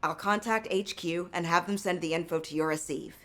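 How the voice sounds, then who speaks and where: An actress playing an earnest, curious excavation chief in a video game, with a conversational delivery. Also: female voice, on the low side, brisk, a bit bright, lightly acted. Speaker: excavation team leader.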